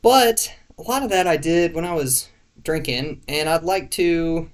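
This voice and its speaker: A man's voice: fairly high pitch, measured pace. A man talking casually to camera in a rambling way, searching for words with lots of fillers, restarts and the odd swear. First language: not French. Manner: laid-back, self-deprecating, swears casually. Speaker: YouTuber.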